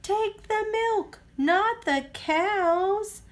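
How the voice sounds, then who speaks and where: A woman's voice: extremely high pitch, drawn-out words, pitched above the performer's own voice, heavily acted. A woman voicing a friendly male dairy farmer, folksy and easily alarmed, in a picture book. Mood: emphatic, alarmed.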